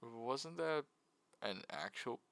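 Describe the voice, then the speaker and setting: A man's voice: medium pitch, animated, slow, gravelly, fairly bright tone, smooth, dry recording. A man giving a casual, jokey video essay about games, with mock sincerity.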